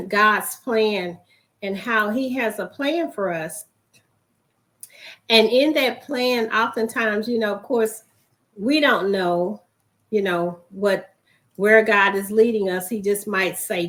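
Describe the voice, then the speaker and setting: Hoarse lady hosting a faith show, slow and steady, keeps clearing her throat, encouraging tone. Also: medium pitch. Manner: reflective, encouraging. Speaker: host of a faith broadcast. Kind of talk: devotional broadcast talk.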